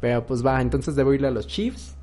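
A man's voice: medium pitch, lively intonation, brisk, dark tone. A man with a Mexican accent co-hosting a podcast in casual chat, playful and dropping English phrases. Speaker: podcast co-host.

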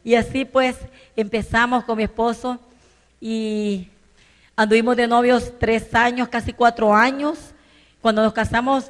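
An older woman from El Salvador with a Salvadoran Spanish accent, giving an earnest personal testimony at a business event and rising to emphatic bursts. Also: fairly high pitch. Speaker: business conference speaker. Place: large conference hall stage. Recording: long reverb of a large hall.

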